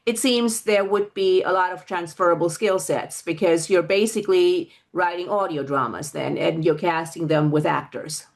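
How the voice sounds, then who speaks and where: Woman co-host on a casual interview, bit low voice, measured and clarifying. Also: steady tone, creaky.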